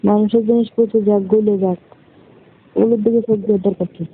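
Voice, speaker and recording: A woman's voice: mid-range voice, fairly even intonation, a little fast, slightly rough. A woman with a Bangladeshi accent in a casual phone conversation, bantering with cheeky retorts. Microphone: phone call. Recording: tinny phone line with noise.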